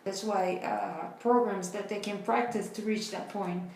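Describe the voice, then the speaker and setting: A woman's voice: mid-range voice, steady tone, moderate pace, gravelly, a little mellow, slight background noise. Young woman in a very echoey room. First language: not English. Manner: explaining with frequent ums. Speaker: co-host. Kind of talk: casual podcast chat. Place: small bright office room.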